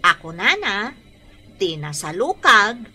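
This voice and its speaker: An actress with an Ilocano accent playing a brisk, firm married laundry shop owner in an emphatic, expressive radio drama style. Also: female voice, medium pitch, breathy edge, heavily acted. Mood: friendly, practical.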